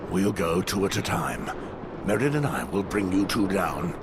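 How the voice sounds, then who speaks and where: An actor playing a stern, loyal, resolute watch soldier in a dramatic fantasy video game, with a deep gravelly voice. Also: male voice, animated, moderate pace, noisy background. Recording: loud music and battle sounds behind.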